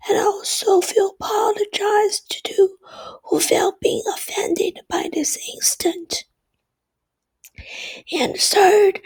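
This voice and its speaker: A woman with a bad cold gives a class presentation, her voice hoarse and almost gone. She mostly whispers in a gravelly, scratchy way and talks slowly, pausing on fillers. What voice she has left sits high. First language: Chinese. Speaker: student presenter.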